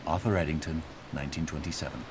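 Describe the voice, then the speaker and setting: A man acting as a thoughtful reader of philosophy in a game audio log, deliberate and carefully articulated, in a measured literary style. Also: rumbling low, steady tone, very noisy. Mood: contemplative. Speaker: audio log narrator reading a philosophical passage. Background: outdoor game ambience.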